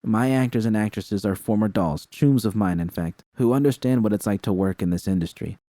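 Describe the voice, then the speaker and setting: A man voicing a frank, caring young woman technician, informal and slangy, often halting and awkward but reassuring. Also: lowish voice, dark tone, no echo, studio quality, natural voice. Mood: earnest, encouraging.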